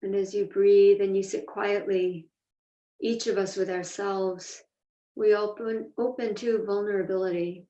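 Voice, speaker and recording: A woman's voice: mid-range voice, flat delivery, warm tone. Echoey, distant woman guiding a meditation. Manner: measured, deliberate, pausing between phrases. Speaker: meditation teacher. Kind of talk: guided meditation, slow instruction. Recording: echoey room, distant mic, dull sound.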